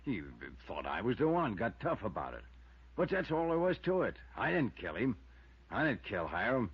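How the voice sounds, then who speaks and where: A man's voice: mid-range voice, very animated, normal speed, breathy edge, heavily acted. A man playing a tough, defensive murder suspect in a 1950s radio drama, terse and stubborn. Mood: defensive, defiant. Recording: muffled old radio recording.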